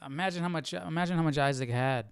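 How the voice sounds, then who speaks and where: A man's voice: on the high side, quick pace, gravelly, a little hiss or hum. A man hosting a live Bible study stream, thinking aloud in casual, reflective commentary and checking in with listeners. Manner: thinking aloud, often asking "right?".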